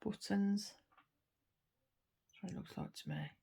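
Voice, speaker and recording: A woman's voice: mid-range voice, wildly swooping pitch, unhurried, vocal fry, mellow, husky. A woman chatting casually to camera while she works on a craft kit, murmuring and trailing off, a little sniffly. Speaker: craft vlogger.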